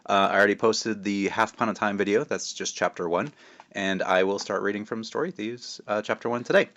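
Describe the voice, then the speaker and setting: A man's voice: on the low side, brisk, a bit bright, muffled recording. A man speaking as a children's author, informally reading a storybook aloud with modest asides. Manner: self-deprecating, informal.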